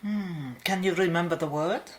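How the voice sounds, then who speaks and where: A woman playing a calm, thoughtful, attentive doctor in a radio play. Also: fairly low pitch, moderate pace, lightly acted.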